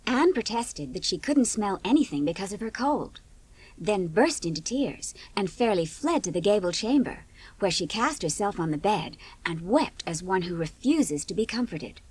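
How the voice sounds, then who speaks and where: Slightly husky woman narrating a story. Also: mid-range voice, animated, normal speed, a little mellow, low-quality recording. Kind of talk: scripted audiobook storytelling.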